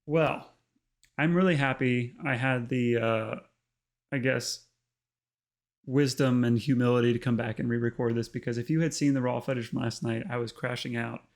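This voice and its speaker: Slightly hoarse male host, gravelly, mid pitch, chatty tutorial read with lots of ums. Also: fairly even intonation, moderate pace. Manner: chatty, full of ums and uhs. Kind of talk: casual screen-recorded tutorial narration.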